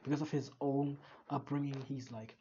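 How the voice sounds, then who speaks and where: A man's voice: medium pitch, flat delivery, normal speed, dark tone, dull audio, slight background noise. A man hosting a reaction video, giving casual commentary that thinks aloud, with repeats and restarts.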